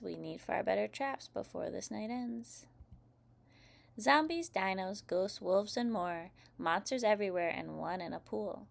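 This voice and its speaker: A woman with a mid-range voice and a noticeable creak reads aloud as if to a group of small children. Her delivery is scripted and expressive, with the instructive manner of a teacher addressing young listeners. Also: medium pitch. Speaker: host reading children's picture books aloud.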